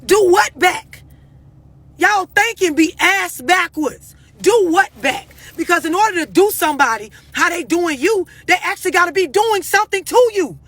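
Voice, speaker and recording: A woman's voice: very high-pitched, animated, normal speed, fairly bright tone. An American woman giving blunt, unscripted relationship advice on a live stream, confrontational and emphatic, pressing her questions insistently. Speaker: host of a live stream talking to followers.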